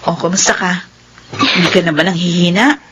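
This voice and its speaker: A woman's voice: on the low side, steady tone, brisk, a bit bright, breathy edge. A woman playing a caring, long-suffering, devout middle-aged mother in a radio drama, with tender emotion.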